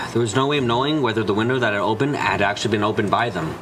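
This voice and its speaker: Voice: mid-range voice, fast, natural voice. An amateur actor voicing a musing, theatrical young witch in a visual novel fan dub, with music behind. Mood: musing, explanatory.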